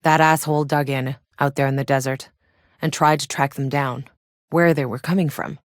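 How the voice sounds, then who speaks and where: A woman's voice: sits low, steady tone, moderate pace, no echo, clean studio sound, close to an everyday voice. An actress playing a tough, sardonic female soldier and explorer in a sci-fi video game, blunt and matter-of-fact. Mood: grave, reflective. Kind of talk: scripted video game character voice lines.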